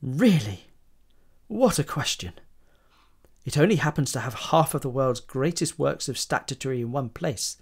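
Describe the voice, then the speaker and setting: An actor playing an earnest young Victorian sculptor in an audio drama, with theatrical, old-fashioned diction. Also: male voice, medium pitch, lively intonation, a little slow, husky. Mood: buoyant. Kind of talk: period audio drama acting.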